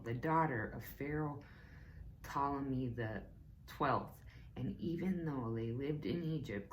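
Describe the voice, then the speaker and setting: A woman reading a book aloud to children as a teacher, slow and deliberate, with playful asides to her listeners. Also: a bass voice, darkish tone, slight background noise, rough recording. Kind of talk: read-aloud book lesson.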